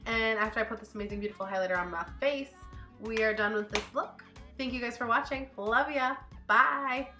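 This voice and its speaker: A woman's voice: fairly high pitch, a little fast. A woman beauty vlogger with a cold and a stuffy nose, chatting casually as she narrates her makeup tutorial. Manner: chatty, upbeat, thinks out loud with fillers. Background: faint background music.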